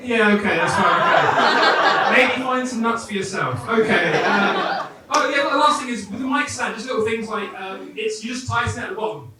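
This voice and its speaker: Very high-voiced man roasting an act. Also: moderate pace, very noisy. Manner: friendly, roasting, swears. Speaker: comedy judge. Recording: echoey club from far off, loud crowd.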